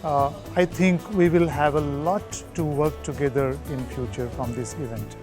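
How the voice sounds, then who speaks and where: A man's voice: a little high, fairly even intonation, a little slow, over music. A man, a conference delegate, speaking plainly and positively in an interview.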